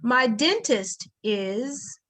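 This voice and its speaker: A woman leading a group workshop over a video call in a slightly high voice. She sounds firm and directive. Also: lively intonation, very slow, fairly bright tone. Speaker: workshop facilitator.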